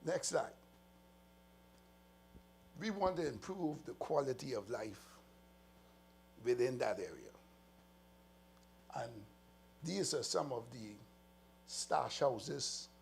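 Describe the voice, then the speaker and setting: A Bahamian man addresses a press briefing in an earnest, emphatic way, pausing as he goes through his slides. His mid-range voice has a gravelly edge. A faint hum sits behind the recording. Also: medium pitch. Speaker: police official. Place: press conference room.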